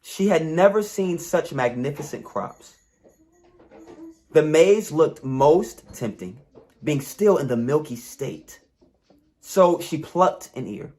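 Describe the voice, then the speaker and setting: A man reading a folktale aloud as a storyteller, with measured, dramatic phrasing and pauses. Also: a little high, lively intonation, mellow. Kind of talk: expressive scripted story reading.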